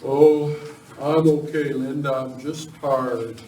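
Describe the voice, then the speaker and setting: An amateur actor playing a weary, uneasy cattle ranch owner in a live radio western. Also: male voice, mid-range voice, steady tone, takes it slowly, mellow, natural voice. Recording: roomy, distant, faint noise.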